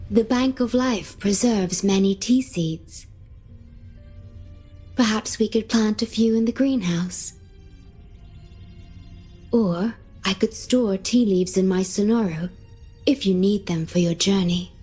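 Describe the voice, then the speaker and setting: A woman voicing a gentle, composed young female companion in a video game, soft-spoken, polite and measured. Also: medium pitch, husky. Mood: gentle, calm. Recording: game music behind the voice.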